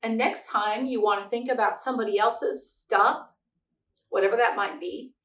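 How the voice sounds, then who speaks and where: A middle-aged woman reading a picture book aloud and talking to young children in an expressive, simply phrased, explanatory way. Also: fairly high pitch, normal speed. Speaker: children's read-aloud host. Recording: echoey room, distant microphone.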